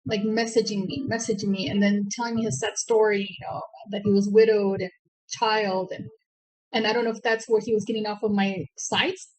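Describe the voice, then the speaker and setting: A woman's voice: mid-range voice, level tone, a little fast, rough recording. Older woman, reflective guest. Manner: reflective, says wow often.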